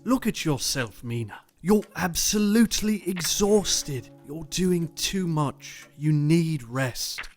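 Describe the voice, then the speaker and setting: A well-mannered young gentleman in a Victorian audio drama. His voice is a little higher, polite but firm. Soft music plays behind him. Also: lively intonation, slow pace, few pauses. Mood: concerned, firm. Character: proper, concerned young English gentleman.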